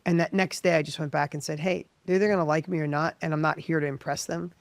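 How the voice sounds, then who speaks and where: High-voiced man with a gravelly edge. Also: no echo. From United States. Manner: animated, quick restarts and repeated phrases. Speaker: guest. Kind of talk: candid storytelling interview. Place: professional studio.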